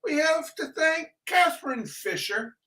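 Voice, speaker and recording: Slow-talking theatrical man hosting a livestream. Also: very high-pitched, lively intonation, bright, forceful tone. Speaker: livestream host and reader. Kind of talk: casual rambling livestream introduction with shout-outs.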